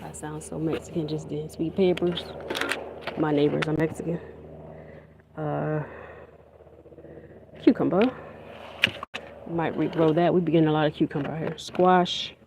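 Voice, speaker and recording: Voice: mid-range voice, level tone, unhurried, vocal fry, long pauses. Adult teacher, casual phone livestream, rambling chatty talk, outdoor yard, running generator noise behind. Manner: rambling and chatty, with many restarts. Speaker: teacher of young children. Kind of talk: casual livestream chat while gardening. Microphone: handheld phone livestream. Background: generator hum and vehicle noise.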